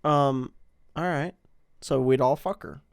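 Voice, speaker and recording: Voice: medium pitch, slow, creaky, clear, resonant tone. A podcast co-host chatting casually and informally, with plenty of slang. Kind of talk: casual chat.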